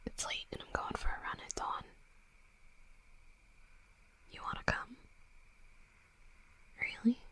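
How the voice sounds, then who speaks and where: A woman's voice: takes it slowly, long pauses, sharp tone, whispered. A woman voicing a tough young female werewolf bully in an intimate roleplay audio drama, brash and sweary but grudgingly tender. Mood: flustered, hushed.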